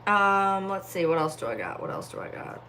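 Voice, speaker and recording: A woman's voice: medium pitch, sing-song, normal speed, pushed and bright, a little hiss or hum. A young woman chatting casually on a phone livestream, sleepy and yawning, with a distinctive voice and lots of filler words. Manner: rambling, heavy filler words. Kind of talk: casual live-stream chat reading comments.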